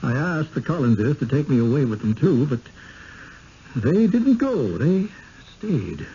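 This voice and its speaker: An actor in a 1970s radio drama playing a folksy, uneasy village servant with a rural American accent, halting and plain-spoken. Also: male voice, medium pitch, sing-song, warm tone. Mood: urgent, foreboding. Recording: muffled, noisy old radio broadcast.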